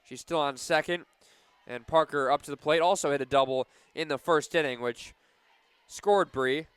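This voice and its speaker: High-pitched, clear male softball announcer. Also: no echo. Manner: excited on big plays, measured between them. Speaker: radio play-by-play announcer. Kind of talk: live softball radio play-by-play. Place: live at a softball game.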